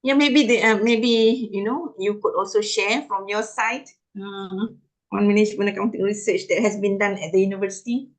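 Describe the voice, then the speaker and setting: A woman who is the guest lecturer, chatting in a relaxed, friendly way with some fillers after an online lecture. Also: medium pitch, normal speed.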